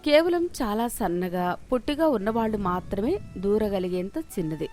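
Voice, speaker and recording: A woman's voice: medium pitch, sing-song, normal speed, a little mellow, soft background music. A woman narrating a scripted moral story as its storyteller.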